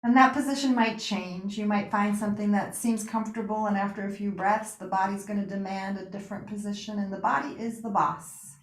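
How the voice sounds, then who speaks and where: A woman guiding a relaxation meditation with gentle spoken instruction, pausing and reassuring in a soothing way. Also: medium pitch, fairly even intonation. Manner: unhurried pauses, soothing reassurance. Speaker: yoga nidra meditation guide. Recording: roomy, echoing sound.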